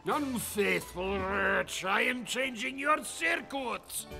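A man's voice: extremely high pitch, animated, slow pace, gravelly, music in the background, heavily acted. A man playing a vain, stingy cartoon villain with a theatrical, grandiose manner. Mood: angry. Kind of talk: animated cartoon acting.

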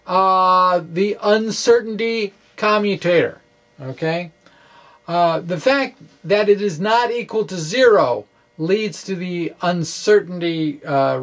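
A middle-aged man giving an informal physics explainer in a halting, conversational, wry way, speaking into a microphone indoors. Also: on the high side, creaky. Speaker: physics blogger. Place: small indoor room with bookshelves. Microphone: microphone on a stand in front of him.

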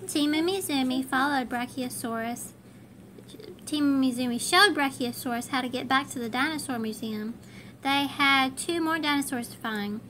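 Female reader for children's picture book, bright and high, animated, encouraging a young listener. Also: moderate pace. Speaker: parent reading a picture book aloud to a child. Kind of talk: read-aloud children's storybook narration. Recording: faint background noise.

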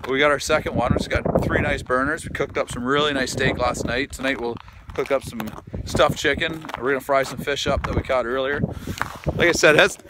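A man's voice: mid-range voice, brisk, very noisy. Chatty man vlogging on a frozen lake. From Canada. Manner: chatty, easygoing, relaxed. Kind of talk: casual vlog tour. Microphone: phone held at arm's length.

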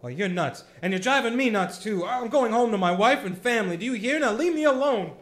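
A man's voice: very high voice, a little fast. An actor playing a bewildered, desperate family man in a radio play, stammering, agitated and pleading. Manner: stammering, excitable.

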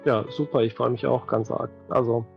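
A middle-aged man speaks casually as a guest on a video call. His mid-pitched voice is husky with a creaky edge, and music plays behind it. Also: steady tone, fairly quick, dull audio. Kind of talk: casual chat in an interview. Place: indoors at home, video call.

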